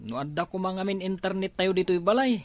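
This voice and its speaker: A woman voices an agreeable Ilocano schoolchild in a family comedy drama. The voice sits at a middling pitch and sounds close and dry. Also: brisk, no room sound, natural voice. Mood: casual. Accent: Ilocano Filipino. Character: agreeable schoolchild who praises mother.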